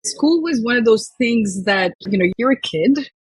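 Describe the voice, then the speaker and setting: A woman's voice: a little high, animated, measured pace, husky, dry recording, rough recording. A woman in her twenties from Dubai, a company founder telling her story in a chatty, reflective way as a podcast guest. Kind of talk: podcast interview.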